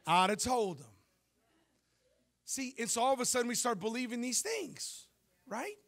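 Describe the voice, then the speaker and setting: A man in his thirties preaching a sermon on a church stage into a handheld mic, telling lively stories and asking the congregation questions. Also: very high-pitched, moderate pace. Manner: animated storytelling preacher, jokes and asks the crowd questions. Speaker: pastor.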